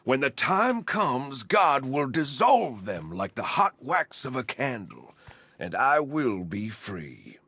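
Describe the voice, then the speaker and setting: A fanatical game villain who preaches slowly and sanctimoniously. His voice is husky and close, with a lively, swinging pitch that makes the calm menace feel righteous. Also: no echo, exaggerated voice. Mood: righteous defiance. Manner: slow, sermonizing, sanctimonious. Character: preaching zealot villain, male, serenely menacing and self-righteous. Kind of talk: video game villain dialogue.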